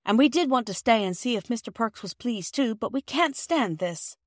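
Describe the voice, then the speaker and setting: A woman's voice: on the high side, expressive, normal speed, no echo, voice pushed up. Give a woman playing an earnest young boy in a storybook. His voice is raised and firm. The recording is dry and close.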